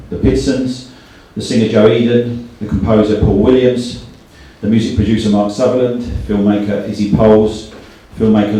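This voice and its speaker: A man's voice: lowish voice, warm tone. A middle-aged man hosting an event, giving a thank-you speech and reading out names in a hall. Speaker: event host and company director. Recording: very echoey hall, distant from microphone.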